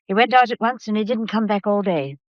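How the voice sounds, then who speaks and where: An actress in a radio drama playing an anxious, dutiful office secretary who is easily shaken and speaks with emotional, hesitant urgency. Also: female voice, a little fast, no room sound, close to an everyday voice. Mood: nervous, earnest. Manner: hesitant, emotional, highly strung. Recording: slightly dull, dry studio sound.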